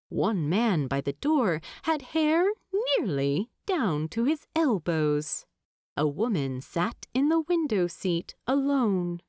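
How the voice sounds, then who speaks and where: A woman reading scripted story narration as an audiobook narrator, expressive and deliberate. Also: mid-range voice, no echo, studio quality.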